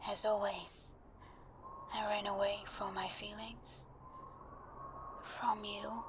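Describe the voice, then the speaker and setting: A woman playing a timid, anxious best friend in an intimate ASMR roleplay, speaking in a hesitant, breathy half-whisper as if leaving a voice message. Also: medium pitch, noisy background.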